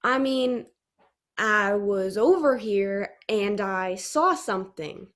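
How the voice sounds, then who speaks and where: A woman's voice: mid-range voice, takes it slowly, fairly bright tone, voice pushed down. Young woman acting a blustery folksy farmer man. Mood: earnest. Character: folksy, stubborn male farmer, prone to posh slips. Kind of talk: comic radio play acting. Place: home room, on a video call.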